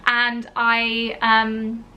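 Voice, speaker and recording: A woman's voice: on the high side, completely flat delivery, very slow, bright, forceful tone, highs cut off, slight background noise. A young woman, telling a personal story to camera in a chatty, gushing way, full of fillers like "you know". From born in Italy, grew up in the UK. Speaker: vlogger. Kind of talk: casual storytime chat to camera.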